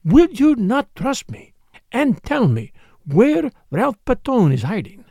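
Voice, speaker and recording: A man's voice: sits high, huge pitch swings, dry recording, studio quality, pitched above the performer's own voice, heavily acted. A man voicing an elderly, courteous detective in a storybook, with a formal, pleading manner. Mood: gentle coaxing. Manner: formal, pleading, dramatic pauses. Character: elderly Belgian male detective, courteous, grave, insistent.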